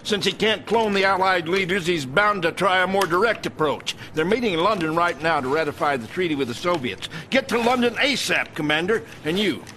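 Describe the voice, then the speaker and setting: An actor playing an older American army general in a game cutscene, gruff and folksy with emphatic delivery. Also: male voice, high voice, expressive, brisk, few pauses, sharp tone, a little hiss or hum. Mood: urgent briefing. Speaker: military general.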